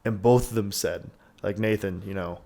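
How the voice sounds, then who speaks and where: A man's voice: on the low side, fairly even intonation, moderate pace, mellow. A man in his twenties hosting a podcast, talking candidly and rambling with lots of "like" and frequent swearing. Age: 20s. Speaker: podcast host. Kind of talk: candid casual podcast talk.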